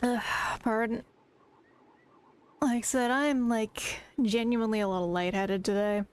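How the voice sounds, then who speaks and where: Brief: woman streamer, slightly high voice, rambling casual chatter aimed at chat. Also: fairly even intonation, unhurried, darkish tone, smooth.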